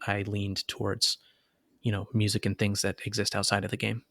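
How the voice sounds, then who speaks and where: A man's voice: lowish voice, completely flat delivery, moderate pace, a bit bright, no echo, studio quality. A man co-hosting a podcast, talking in a casual, chatty way and often hesitating mid-thought as he works out what to say. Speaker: podcast co-host and reader.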